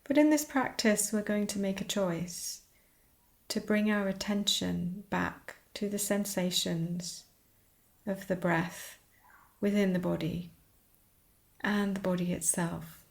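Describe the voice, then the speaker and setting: Slow-paced woman guiding meditation, creaky voice. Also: mid-range voice. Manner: soothing, unhurried, pausing between short phrases. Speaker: yoga and meditation teacher. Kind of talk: guided meditation.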